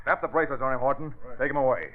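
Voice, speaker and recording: An actor playing a gruff, bossy police commissioner in a blustery old radio drama style. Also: male voice, mid-range voice, racing, narrow, tinny audio, natural voice. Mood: gruff, urgent. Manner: brusque, blustery.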